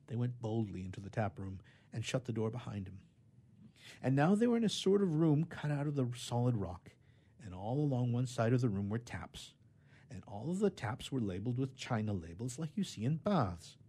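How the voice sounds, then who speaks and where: A man reads a children's story with expressive, theatrical emphasis. His voice is soft-edged and somewhat husky, and his pitch moves widely from phrase to phrase.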